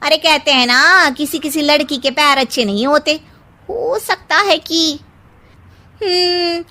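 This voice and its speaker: Storybook gossip aunties, superstitious and shrill, high bright voices put on by a woman. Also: slow, heavily acted. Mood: alarmed gossip. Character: shrill gossiping wedding-guest women, superstitious.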